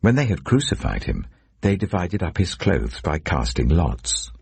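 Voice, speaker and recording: A man's voice: low voice, very animated, moderate pace, gravelly, darkish tone, slightly rough, no room sound. A man narrating scripture as a scripted, dramatic audiobook reading.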